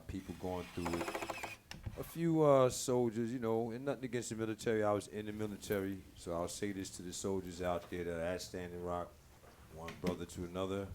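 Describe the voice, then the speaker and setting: Deep-voiced man chatting over noise. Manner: informal, earnest appeals. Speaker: talk show guest, DJ. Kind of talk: casual chat. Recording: clearly noisy live-stream room sound.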